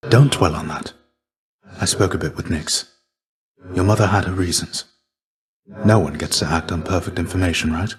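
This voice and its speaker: A man playing a weary, gentle warrior mentor in a video game, speaking in a measured, formal, earnest and fatherly way. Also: low voice, close to an everyday voice. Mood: earnest reassurance. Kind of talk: scripted video game voice acting.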